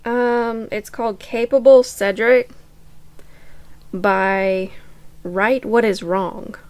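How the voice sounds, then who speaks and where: A woman's voice: medium pitch, fairly even intonation, extremely slow, a little mellow, clean tone, no echo. A woman co-hosting a podcast, reading fan fiction aloud. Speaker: podcast co-host.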